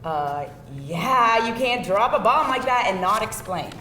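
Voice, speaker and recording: A woman's voice: fairly high pitch, lively intonation, unhurried, bright, forceful tone, recorded from a distance. A woman playing a wry, candid, incredulous date in a live screenplay table read. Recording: echoey live room, faint noise.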